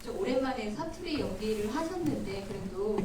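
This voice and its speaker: A woman's voice: medium pitch, lively intonation, moderate pace. A woman moderating a press Q&A, putting questions to the guests politely and formally. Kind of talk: hosting a press Q&A. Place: cinema hall at a press screening. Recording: echoey hall, distant mic, noisy.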